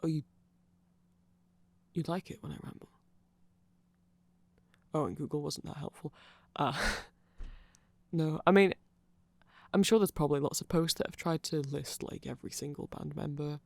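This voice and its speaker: A man voicing a nerdy, friendly vampire in a casual ASMR roleplay, soft-spoken and rambling haltingly close to the microphone. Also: a little high, steady tone, vocal fry, no room sound. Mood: shy, hesitant. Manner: halting, self-deprecating rambling with small laughs. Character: nerdy, hesitant, friendly male vampire music fan. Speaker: ASMR audio roleplay performer.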